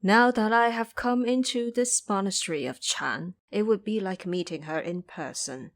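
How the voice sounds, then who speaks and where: A gentle pilgrim monk read by a woman, humble and devout. The delivery is courteous and measured, often dropping lower and quieter, as in an audio drama of an old legend. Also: close to an everyday voice. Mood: devout, grateful. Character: polite, humble, devout male travelling monk.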